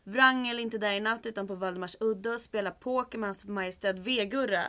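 An actress playing a cool-headed, wry warplane captain in an old-time radio drama, clipped and commanding. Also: female voice, natural voice. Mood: businesslike. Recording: loud rumbling aircraft engine noise. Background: aircraft engine rumble.

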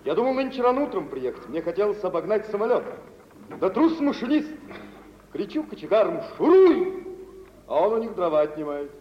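An actor playing a boisterous, self-assured gentleman shipowner in theatrical mid-century radio drama, telling things in an expansive, swaggering way. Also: sits high, sing-song, brisk. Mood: jovial, expansive. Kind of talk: mid-century Soviet stage acting for radio. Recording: muffled, noisy 1950s radio recording, reverberant hall.

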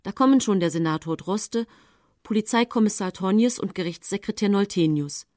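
A woman's voice: medium pitch, normal speed, a little mellow, dry recording, close to an everyday voice. Actress as a guarded woman narrator, dry sound. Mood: controlled. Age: middle-aged. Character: guarded 19th-century townswoman recounting her own case. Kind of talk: radio play narration.

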